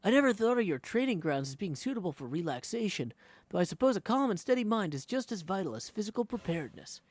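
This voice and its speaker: A man's voice: high-pitched, very animated, dry recording, voice pushed up. A man voicing a composed young goddess from a video game, formal and gracious in manner. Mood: composed, dutiful. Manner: formal, measured.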